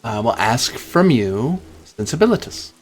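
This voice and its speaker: A man narrating a tabletop game as game master, in a halting, deliberate way with pauses. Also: medium pitch, sing-song.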